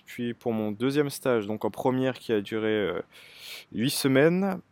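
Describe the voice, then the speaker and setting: A young man with a Parisian French accent answers interview questions about his life and studies. He speaks thoughtfully, pausing often with fillers. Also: mid-range voice, expressive, a little mellow, no echo. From Paris area, France. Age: young adult. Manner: thoughtful, hesitant, frequent fillers. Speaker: interviewee, student.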